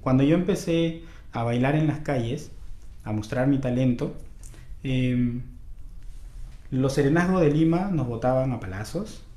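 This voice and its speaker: A man from Callao speaks hesitantly and restarts. His tone is mellow. An echo hangs on the voice. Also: mid-range voice, unhurried, pauses often. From Callao, Peru. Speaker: street dancer. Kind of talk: documentary testimony.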